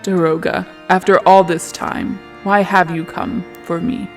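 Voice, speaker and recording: A performer as obsessive masked genius, brooding, hushed, intense, wounded, tabletop drama, music underneath. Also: medium pitch, flat delivery, measured pace, vocal fry. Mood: soft suspicion. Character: Phantom, obsessive, secretive, wounded male genius.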